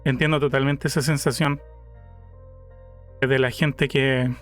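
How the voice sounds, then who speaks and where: A man's voice: medium pitch, little pitch movement, measured pace, fairly bright tone, faint music behind. A man streaming a reaction to a video, musing aloud in a thoughtful, casual way.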